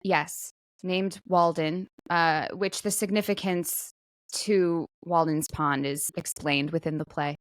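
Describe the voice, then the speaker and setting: A woman's voice: fairly low pitch, fairly even intonation, slow, dry recording. A woman co-hosting a podcast, chatting casually in a self-deprecating way. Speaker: podcast co-host; stage actor. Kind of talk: casual banter.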